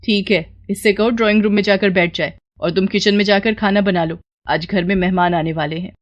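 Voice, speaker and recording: A woman plays a rich household employer in a moral tale. She is strict and impatient, giving curt orders at a middling pitch. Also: steady tone, brisk, dull audio, natural voice. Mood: commanding. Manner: curt, commanding. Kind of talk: moral story character voicing.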